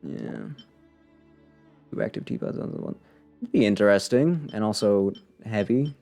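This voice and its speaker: Gravelly, low-voiced man streaming a game. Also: expressive, moderate pace, warm tone, faint music behind. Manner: rambling, muttering to himself, playfully sarcastic. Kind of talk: casual live gameplay commentary.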